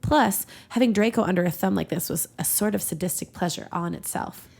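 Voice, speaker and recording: A woman's voice: medium pitch, brisk, creaky, a little mellow. A woman podcast host reading fan fiction aloud for comedy, giggly and ready to break off into banter.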